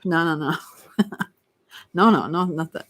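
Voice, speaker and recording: Non-native English speaker, tarot card reader, mid-range pitch, slow rambling delivery, trailing-off phrases, unscripted ASMR. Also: background noise. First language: not English.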